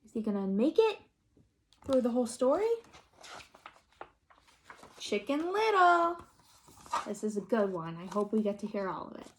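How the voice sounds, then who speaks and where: A woman's voice: fairly high pitch, normal speed, pauses often, a little hiss or hum. A woman reading a picture book aloud expressively, with questioning asides to young listeners. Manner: expressive, addresses children with questions. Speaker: read-aloud storyteller.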